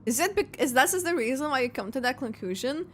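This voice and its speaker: Female game streamer: high, bright voice, unhurried, expressive live reactions and chat. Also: slight background noise. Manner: expressive, chatty, thinking aloud.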